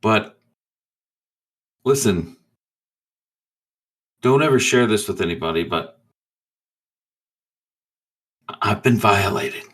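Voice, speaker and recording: A man's voice: lowish voice, animated, slow pace, pauses often, a bit bright. A man playing a tabletop role-playing game in casual chat, blunt, sweary and joking. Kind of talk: casual chat, improvised play.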